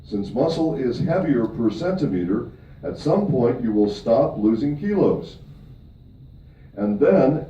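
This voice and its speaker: A middle-aged man from Michigan speaks at a live seminar. His voice sits in a middling register and sounds distant and echoey, with a dull, muffled tone and a faint hiss of room noise. He keeps checking in with the crowd, pushing his pitch up on key points. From Michigan, USA. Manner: emphatic, with upward swings on key points. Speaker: presenter at a company event. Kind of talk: live seminar talk to an audience. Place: room where a talk is given to an audience.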